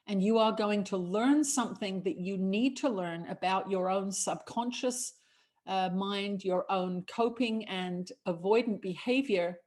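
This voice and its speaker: A middle-aged woman, a spiritual channeler hosting a show, giving calm, measured guidance as a collective "we" and chatting with callers. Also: mid-range voice.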